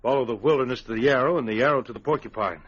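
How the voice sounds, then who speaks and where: Man playing husky, uneasy outlaw henchman. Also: medium pitch, racing, lightly acted. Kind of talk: old-time radio adventure acting. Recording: muffled vintage radio recording.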